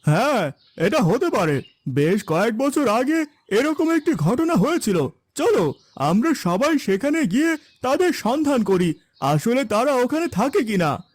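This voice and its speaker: Man playing an excitable high-pitched village man. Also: unhurried, no room sound, heavily acted. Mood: urging. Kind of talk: cartoon dialogue.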